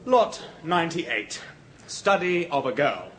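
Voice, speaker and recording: An actor playing an auctioneer in a radio drama, calling bids in a formal manner. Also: male voice, fairly high pitch, sing-song, fairly bright tone, a little hiss or hum, full character voice. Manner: formal, rapid bidding calls. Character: brisk auctioneer at a London sale.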